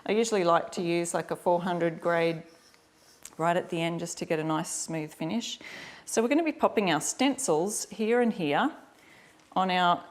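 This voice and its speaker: A woman's voice: lowish voice, normal speed. A woman with an Australian accent giving a casual live craft tutorial, chatting informally and directly to her viewers. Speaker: host of a live craft demonstration. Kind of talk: casual livestream tutorial chat.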